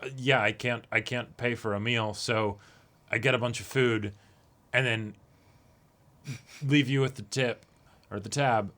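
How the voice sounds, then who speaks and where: A man's voice: medium pitch, steady tone, a little slow, pauses often, a bit bright. A man co-hosting an anime reaction show, chatting casually, checking in with "you know" and "right" and quoting imagined lines. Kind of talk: casual discussion of an anime episode.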